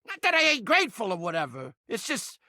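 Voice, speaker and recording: A crusty little mouse trap from a video game, brash and streetwise. The voice is piercing and strident, leaping up and down in pitch, recorded very dry. Under the surly bluster sits a soft heart. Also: male voice, huge pitch swings, a little fast, no room sound, heavily acted. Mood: sheepish, grateful. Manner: brash, yelling, streetwise slangy wisecracking. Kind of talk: video game character acting.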